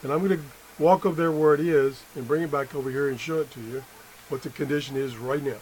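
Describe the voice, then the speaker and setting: A man's voice: fairly high pitch, fairly even intonation, darkish tone. Middle-aged man thinking aloud, noisy room. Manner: halting, thinking aloud, self-correcting. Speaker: amateur product tester and video host. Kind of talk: unscripted hands-on demonstration. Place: indoor room at a workbench. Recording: noisy room with background sound.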